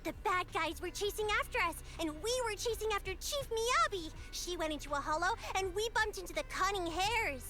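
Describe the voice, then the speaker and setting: A woman's voice: very high voice, animated, fairly quick, hardly any pauses, pushed and bright, faint music behind, exaggerated voice. A woman voicing a small, perky, girlish squad member in an anime-style game. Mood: eager.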